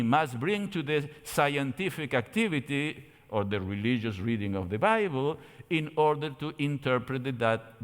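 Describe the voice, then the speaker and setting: An older man from Argentina with an Argentine Spanish accent, giving a didactic yet conversational theology lecture with rhetorical questions. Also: mid-range voice. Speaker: theology lecturer at a church camp meeting. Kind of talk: lecture with slides. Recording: echoey hall with long reverb.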